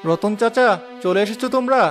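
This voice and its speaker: A man's voice: very high-pitched, a little fast, soft background music. A man voicing a kind, practical young village headman in a cartoon, earnest and encouraging. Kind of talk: Bengali cartoon voice acting.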